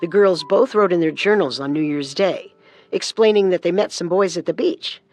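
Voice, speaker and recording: A man with a fairly high voice narrates a scripted true-crime story as co-host. He explains details in a storytelling way, with soft music in the background. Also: moderate pace.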